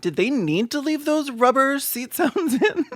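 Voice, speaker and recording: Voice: high voice, sing-song, slow, a bit bright. A comedic commentator reacting to videos with sarcastic, campy, playful delivery and mocking asides. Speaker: YouTube host and commentator.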